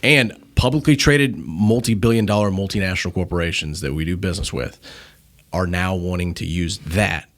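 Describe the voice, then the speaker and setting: Male podcast guest, very low voice, casual and blunt storyteller. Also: normal speed, fairly bright tone. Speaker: podcast guest and media company founder. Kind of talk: casual conversational interview storytelling.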